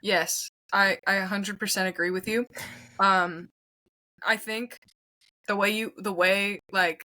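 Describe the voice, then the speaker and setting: A young woman with a very bright, forward voice speaks candidly in an interview. She sounds youthful and reflective, recorded close and dry, with an average pitch for a woman. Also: fairly even intonation, slow pace, no room sound, low-quality recording. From Quebec, Canada. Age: 20s. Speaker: guest, singer-songwriter.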